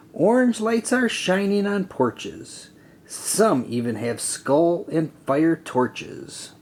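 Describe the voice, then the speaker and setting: A man reading a rhyming children's story aloud in an expressive, deliberate, sing-song way. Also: on the high side. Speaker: storybook reader. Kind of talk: children's read-aloud narration.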